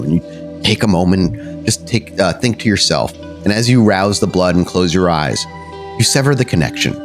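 A man narrating a tabletop role-playing game as game master, descriptive and dramatic with casual asides. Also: on the low side. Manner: descriptive, dramatic storytelling mixed with casual rules talk.

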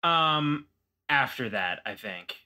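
A man's voice: medium pitch, expressive, takes it slowly, pushed and bright. A man playing a tabletop game, casually improvising what his character does. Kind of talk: casual improvised roleplay narration.

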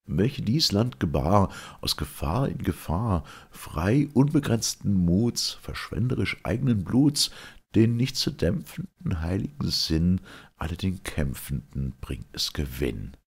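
A man voicing a fiery winged youth, gravelly and declaiming. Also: sits low, lively intonation, a little slow, exaggerated voice. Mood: defiant. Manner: declamatory, impassioned verse delivery. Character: fiery reckless winged youth, proud and yearning for war.

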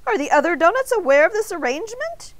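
Woman voicing an excitable, high-pitched little donut. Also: a little slow, dry recording, heavily acted. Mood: shocked. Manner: dramatic, exclaiming, extra polite when pleading. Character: excitable, indignant little talking donut, male, polite but dramatic. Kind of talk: children's storybook character voice.

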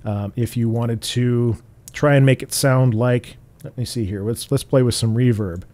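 Relaxed bassy man explaining software. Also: normal speed. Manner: relaxed, explanatory, with fillers and restarts. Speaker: tutorial host. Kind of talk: screen-recorded software tutorial.

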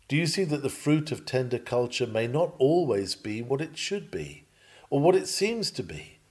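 A man reading an old Victorian sermon aloud in an earnest, measured, preacherly manner. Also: mid-range voice. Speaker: sermon reader.

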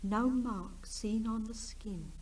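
A woman's voice: on the high side, slow pace, darkish tone, breathy edge. A woman playing a distressed, lamenting village woman in a 1960s radio drama. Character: distressed woman who laid out a corpse, recalling pains. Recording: distant 1960s radio recording.

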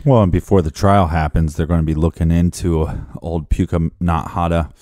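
A man co-hosting a true crime podcast, talking bluntly with gruff humor. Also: low voice, steady tone, brisk, a little mellow, no echo, studio quality. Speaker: podcast co-host. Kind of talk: casual true crime chat.

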